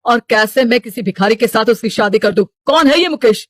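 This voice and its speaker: A man's voice: extremely high pitch, fairly quick, fairly bright tone, no room sound, studio quality. A man playing a stern, money-minded middle-aged father in a story, domineering and scolding. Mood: angry.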